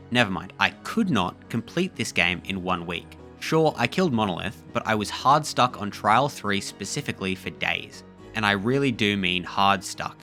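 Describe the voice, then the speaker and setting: A man reading a scripted video essay voiceover in a measured, reflective way. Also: fairly low pitch, sharp tone.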